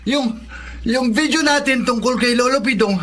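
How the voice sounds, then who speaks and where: An actor playing an excitable, stammering young male friend in a radio drama, with a Filipino accent. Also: mid-range voice, moderate pace, a little hiss or hum, lightly acted. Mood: excited.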